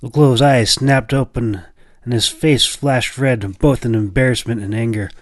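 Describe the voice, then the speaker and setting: A man narrating a fantasy audiobook in a dramatic, expressive, deliberate storytelling style. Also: mid-range voice, no room sound, clean studio sound.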